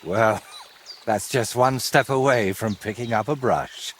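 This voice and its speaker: A man playing a brooding low-voiced medieval painter. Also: a little slow, slight background noise, natural voice. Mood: wry. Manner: wry, teasing, evasive. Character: brooding, secretive medieval painter, sardonic and obsessive. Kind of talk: dramatic dialogue in a cinematic historical game.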